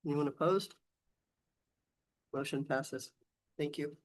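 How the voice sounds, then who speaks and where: A woman chairing a county commission meeting, hoarse and losing her voice, moving things along with brisk procedural phrasing. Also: deep voice.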